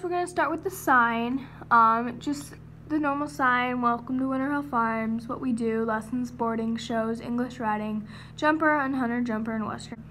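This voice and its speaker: High-voiced woman giving a toy barn tour. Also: slight background noise. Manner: lists names in a steady run of "and then". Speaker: model horse hobby vlogger. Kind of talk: casual guided tour narration. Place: indoors in a home room beside a toy horse barn.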